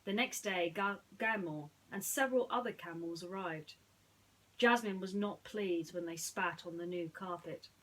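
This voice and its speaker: A woman speaking in an earnest, slightly halting way, as a storyteller chatting and reading to children. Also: lowish voice, fairly bright tone, poor audio. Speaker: storyteller reading bedtime stories.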